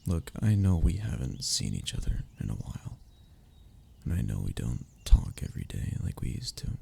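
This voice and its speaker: A man's voice: very deep voice, big pitch swings, normal speed, creaky, warm tone, slightly rough, heavily acted. A man playing a sentimental, teasing young man in an ASMR roleplay, talking intimately and conversationally to a childhood best friend. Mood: earnest, tender. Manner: intimate, conversational, with sighs and chuckles. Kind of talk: ASMR roleplay audio drama.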